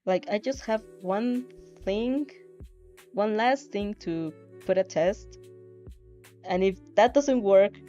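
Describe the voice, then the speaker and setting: A woman livestreaming in a soft voice, reading aloud and chatting in a rambling, self-interrupting way. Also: mid-range voice, takes it slowly, long pauses. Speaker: streamer, fanfiction reader. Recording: slightly muffled audio with music behind.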